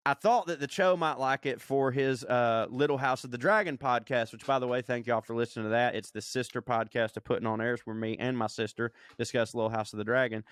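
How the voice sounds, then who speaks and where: A man reads a listener's email on his podcast, breaking off for crude, amused asides. His voice is smooth and resonant, and the sound is close and dry with no room echo. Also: medium pitch, a bit bright. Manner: profane, laughing, reads aloud with asides. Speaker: podcast co-host. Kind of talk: casual chat reading listener email.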